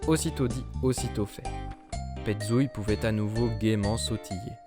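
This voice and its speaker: A man narrating a children's storybook cartoon in a lively, rhythmic reading. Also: lowish voice, normal speed. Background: children's music.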